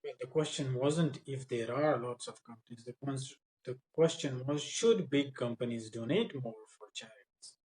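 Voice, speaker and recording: A man tutors spoken English in a mock exam setting. His voice sits at a middle pitch. He is blunt and corrective, pressing his points insistently. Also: normal speed, hardly any pauses, darkish tone, low-quality recording. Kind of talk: mock speaking test with coaching.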